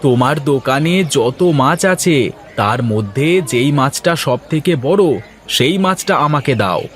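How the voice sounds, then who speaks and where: A fed-up village family man from a cartoon, played by a male actor. He talks in an earnest, measured, plaintive way, like a son worn down by quarrels at home. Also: mid-range voice, lively intonation, natural voice. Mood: resigned. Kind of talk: Bengali cartoon dialogue acting.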